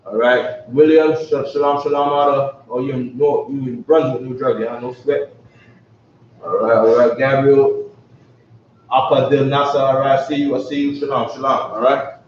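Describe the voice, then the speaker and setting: A man's voice: medium pitch, steady tone, normal speed, slight background noise. A man teaching a casual live class, who keeps repeating himself and asking "you know what I'm saying?". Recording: echoey, distant, dull live stream.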